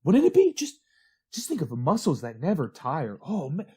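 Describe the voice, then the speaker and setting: An actor playing a dreamy, excitable workshop hand who talks eagerly and repeats himself, in a retro radio drama. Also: male voice, on the high side, normal speed, breathy edge, heavily acted. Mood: excited wonder. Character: dreamy, excitable male workshop hand fascinated by mechanical men.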